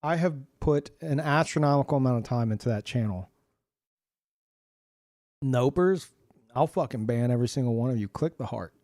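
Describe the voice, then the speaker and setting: A man's voice: mid-range voice, normal speed, long pauses, darkish tone, no room sound, clean studio sound. A man in his thirties casually chatting and swearing as he reads out chat messages while streaming a game.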